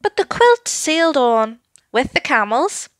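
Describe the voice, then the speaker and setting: A woman narrating a children's story with an expressive, deliberate, dramatic delivery. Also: high-pitched, no echo, studio quality.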